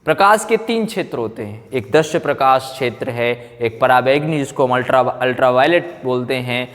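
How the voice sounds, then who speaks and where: Male science lecturer, mid-pitched, question-and-repeat teaching style, English terms mixed in, long room reverb. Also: moderate pace, a bit bright. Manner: repeats phrases and asks rhetorical check questions; mixes in English technical terms. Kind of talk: classroom physics lecture for exam students.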